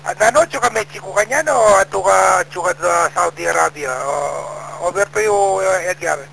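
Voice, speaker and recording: Filipino male radio amateur, high voice full of heavy creak, casual exchange over a clearly noisy link. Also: moderate pace, bright, forceful tone. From Philippines, Pampanga region.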